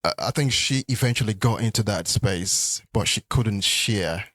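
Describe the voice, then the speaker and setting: A man co-hosting a couples podcast, telling stories in a relaxed, unhurried way and leaving sentences for his partner to finish. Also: lowish voice, no room sound. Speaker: podcast co-host. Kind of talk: casual conversation.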